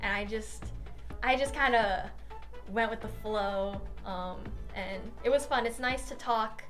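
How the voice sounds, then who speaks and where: A woman's voice: on the high side, measured pace, fairly bright tone. A young adult woman giving casual interview answers as a playwright. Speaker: student playwright. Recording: faint music behind.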